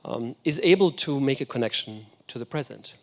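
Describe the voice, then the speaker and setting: A man's voice: mid-range voice, expressive, moderate pace. Enthusiastic German-native man lecturing. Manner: enthusiastic, reflective storytelling. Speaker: guest lecturer. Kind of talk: architecture lecture.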